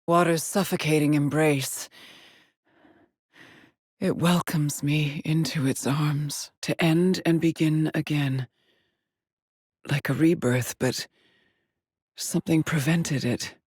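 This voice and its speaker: A determined but haunted woman stranded on an alien world in a video game. Her voice is breathy and husky, recorded close and dry. She speaks slowly, in measured, introspective log entries. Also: low-pitched, darkish tone, no echo, full character voice. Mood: brooding, sorrowful. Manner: measured, introspective, grave, with sighs. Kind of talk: scripted video game monologue, solitary log narration.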